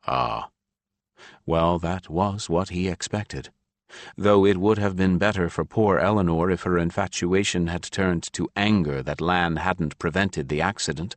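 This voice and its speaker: A man's voice: low voice, steady tone, measured pace, no echo. A man reading a fantasy novel as a scripted audiobook narration.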